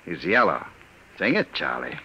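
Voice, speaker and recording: A man's voice: deep voice, very animated, normal speed, sharp tone, slightly rough, full character voice. A man playing a cold, sardonic gang boss who taunts and commands, in 1940s radio crime drama style. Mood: taunting menace. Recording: dull vintage radio recording.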